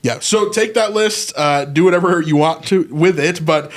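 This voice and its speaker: A man's voice: fairly high pitch, moderate pace. A man co-hosting a casual podcast, explaining ideas in an upbeat way and checking in with "right?". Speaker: podcast co-host.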